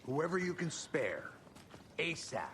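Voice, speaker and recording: A man's voice: fairly high pitch, very animated, slow, fairly bright tone, slight background noise, heavily acted. A man playing a dry, world-weary federal agent in a video game, terse and sardonic. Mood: businesslike. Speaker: FBI agent.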